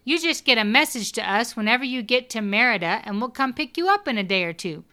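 A man's voice: very high-pitched, expressive, fast, pushed and bright, clean tone, voice pushed up, full character voice. A man voicing a bubbly, hospitable woman, brassy and reassuring, in audiobook dialogue. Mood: warm, reassuring.

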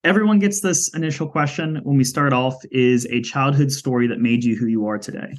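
A man hosts an interview podcast with a mid-pitched, everyday voice. He reads his introductions brightly but stumbles and restarts now and then. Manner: upbeat introductions with halting restarts. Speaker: podcast host.

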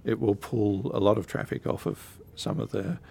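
A man, a council director, answering interview questions in a measured way with frequent ums. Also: lowish voice, steady tone, vocal fry, warm tone, slightly rough. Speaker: council technical services director.

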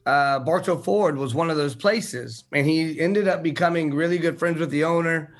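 A man in his thirties from Central Florida, a dealership owner answering interview questions in an earnest, halting way with fillers over a remote call. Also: a little high, fairly bright tone. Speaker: car dealership owner, guest. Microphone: remote video call.

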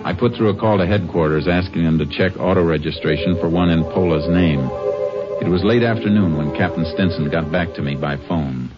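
An actor playing a calm, laconic American Texas Ranger in a fifties radio western, businesslike in dialogue and narration. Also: male voice, deep voice, brisk, over loud music, lightly acted. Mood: grave narrating. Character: steady, laconic Texas Ranger lawman, male. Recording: muffled 1950s radio recording.

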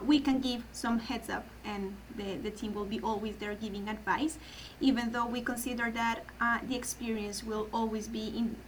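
A woman's voice: on the high side, a little hiss or hum, poor audio. Colombian woman, earnest and careful. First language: Spanish. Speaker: adoption program guest. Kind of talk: podcast interview.

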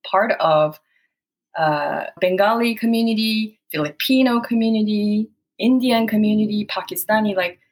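A woman's voice: medium pitch, moderate pace. A woman from South Korea with a Korean accent, whose first language is Korean, answering interview questions in an earnest, reflective way and laughing often as she recalls things. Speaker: guest.

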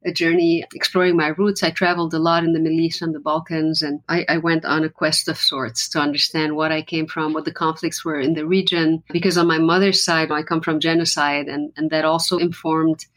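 Low-voiced woman from Lebanon, earnest. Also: level tone, fairly quick, hardly any pauses, a bit bright, poor audio. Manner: earnest and reflective, with frequent restarts. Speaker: musician guest. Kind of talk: conversational interview.

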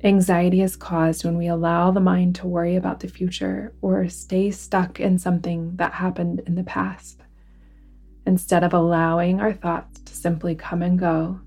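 A woman guiding a relaxation meditation in a soothing, deliberate manner, with phrases that sometimes fall to a hush. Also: lowish voice, flat delivery, breathy edge, faint music behind. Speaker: yoga nidra guide. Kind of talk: guided relaxation meditation narration.

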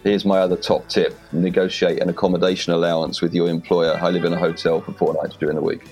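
A man's voice: low voice, little pitch movement, quick pace, a little mellow, faint music behind. A man with a Welsh accent, a podcast guest giving direct, confident advice on interviewing. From Wales. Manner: direct, confident, plain-spoken. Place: home in rural Wales.

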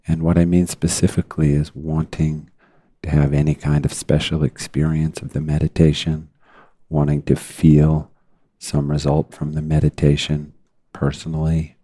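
Very deep-voiced man guiding meditation. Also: completely flat delivery, warm tone, dry recording. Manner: measured, deliberate, with long pauses. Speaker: meditation teacher leading a guided meditation. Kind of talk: unscripted guided meditation talk.

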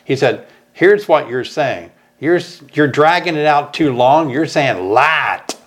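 A middle-aged man from Tennessee talks about beekeeping in a relaxed, folksy way. His pitch rises and falls a lot, and he tends to ramble through his stories. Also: slow pace. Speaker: beekeeping channel host. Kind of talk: casual chat.

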